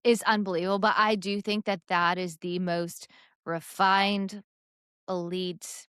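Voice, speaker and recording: A woman's voice: mid-range voice, flat delivery, a little slow, a bit bright, clear, resonant tone, dry recording, studio quality. A young woman co-hosting a podcast, chatting casually and enthusiastically about sports and lifestyle. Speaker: podcast co-host.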